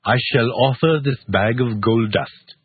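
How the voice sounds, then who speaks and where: A man's voice: mid-range voice, unhurried, slightly rough, dry recording. A man reading a storybook tale aloud. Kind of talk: storybook narration. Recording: tinny phone-like clip.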